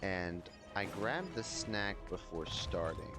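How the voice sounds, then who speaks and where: A man's voice: sits low, lively intonation, slow pace. A man streaming a video game, giving casual, rambling, informal and self-deprecating live commentary. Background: game music.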